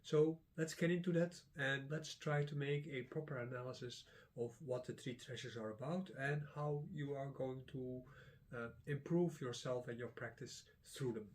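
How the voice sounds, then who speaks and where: A middle-aged man whose first language is Dutch gives a casual lesson, pausing often with filler sounds. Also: medium pitch, fairly even intonation, a little hiss or hum. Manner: rambling, many filler sounds and restarts. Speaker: Taoist teacher and channel host.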